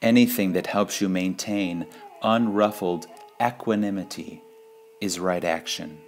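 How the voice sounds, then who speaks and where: A man reading spiritual sayings in a measured, deliberate devotional style, pausing between phrases. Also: deep voice, steady tone, slight background noise. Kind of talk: slow devotional quote reading.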